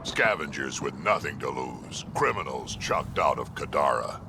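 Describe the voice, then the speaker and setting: An actor playing a gruff, blunt old krogan alien warrior with a raspy, gravelly growl in a video game. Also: male voice, a bass voice, lively intonation, normal speed, noisy background, full character voice. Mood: dry, wry.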